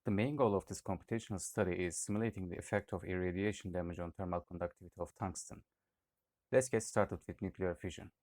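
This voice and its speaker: A man's voice: sits low, fairly even intonation, fast talker, a little mellow, no echo. A young man from Turkey reading out a student project presentation, with occasional hesitations. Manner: read-aloud explanation with occasional "uh" hesitations.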